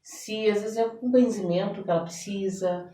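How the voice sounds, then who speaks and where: Casting: middle-aged Brazilian woman, expressive interview guest, mid-pitched, recorded in an echoey room. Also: normal speed. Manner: expressive storytelling, often seeking agreement with tags. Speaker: interview guest, therapist and theater director. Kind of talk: conversational interview answers. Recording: echoey room, distant microphone.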